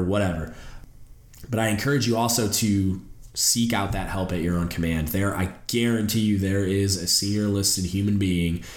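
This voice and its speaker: A man's voice: sits low, echoey room. Congested, nasal man, podcast host. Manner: earnest, encouraging, with restarts. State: stuffed up and congested. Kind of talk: solo mentoring podcast talk.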